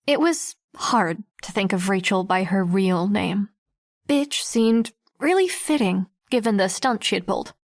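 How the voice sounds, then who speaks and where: A woman playing a guarded, observant teenage girl who narrates an audiobook in the first person, reflective and measured. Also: mid-range voice, expressive. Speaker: narrator and lead character.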